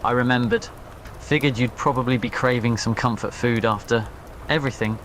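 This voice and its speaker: A man voicing a devoted, protective young wizard boyfriend in an audio roleplay, quiet, tender and reassuring. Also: medium pitch, fairly quick, a little hiss or hum, lightly acted. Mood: affectionate.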